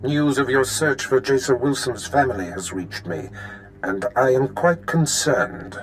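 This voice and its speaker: An actor playing a calculating, imperious Sith master in a video game, speaking in a measured, formal way. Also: male voice, mid-range voice, lightly acted, poor audio. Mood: measured, approving. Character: menacing Sith master, calculating, imperious older male villain. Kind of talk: cinematic game voice acting.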